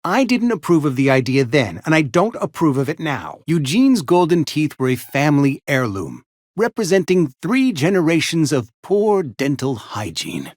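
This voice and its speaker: Fussy, animated small-town undertaker. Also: male voice, a little high, moderate pace, dry recording, clean studio sound. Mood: prim, matter-of-fact. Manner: formal, wordy, florid, over-polite. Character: fussy, mournful, company-loyal frontier town barber and undertaker. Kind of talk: theatrical video game character acting.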